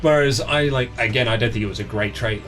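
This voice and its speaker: A man talks casually as a guest in an interview. His voice sits at a medium pitch and he is chatty, often acting out little quotes. Faint music plays behind him. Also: fast, a bit bright. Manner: chatty, acts out imagined quotes. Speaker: interview guest, writer and presenter. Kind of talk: casual conversation about films and games.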